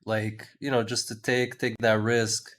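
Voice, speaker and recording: A man's voice: medium pitch, little pitch movement, measured pace, a bit bright. A man hosting a casual podcast, upbeat and hyping up his guest as he asks questions. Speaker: podcast host.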